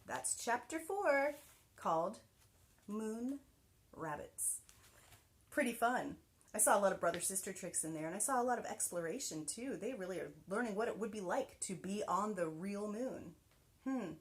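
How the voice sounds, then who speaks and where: A woman teacher reading a children's book aloud with expressive, animated delivery and soft whispered passages. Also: mid-range voice, normal speed.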